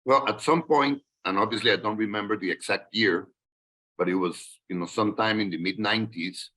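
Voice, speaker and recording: A man, a guest telling his career story in a podcast interview in a measured, reflective way. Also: fairly low pitch, bright, forceful tone, low-quality recording. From Colombia. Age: 60s. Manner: measured, reflective, with frequent fillers and restarts. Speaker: guest, investment banker.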